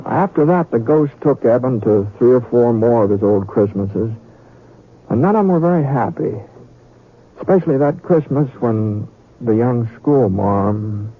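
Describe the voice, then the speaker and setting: A man playing a folksy Western storyteller narrating an old-time radio drama in a halting, conversational way. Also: on the low side, slight background noise. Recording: muffled vintage radio, faint music.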